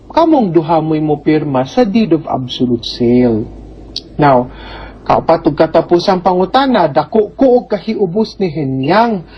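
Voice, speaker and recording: Through slightly dull, lo-fi audio comes a woman with a Filipino Bisaya accent and a medium-pitched voice. She speaks as an advice host, going through questions and explaining the answers in an informal, conversational way, with tag questions along the way. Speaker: host giving legal advice to a letter sender.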